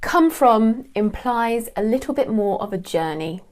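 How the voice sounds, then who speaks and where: A young woman from England with a British English accent, teaching an online English lesson with a clear, deliberate delivery. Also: fairly high pitch, lively intonation.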